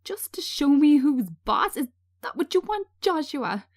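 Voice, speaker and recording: Woman acting out distraught, high-pitched, bitter woman. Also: sing-song, measured pace. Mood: anguished, accusing. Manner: anguished, pleading, accusatory. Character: traumatised woman, distraught, bitter, drinking alone. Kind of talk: dramatic screenplay reading.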